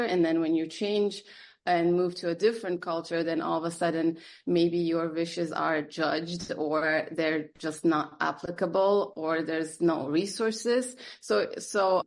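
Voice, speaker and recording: A woman psychotherapist raised in Germany and Turkey, whose first language is Turkish, answering interview questions thoughtfully with frequent fillers and restarts. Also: on the low side. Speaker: psychotherapist guest.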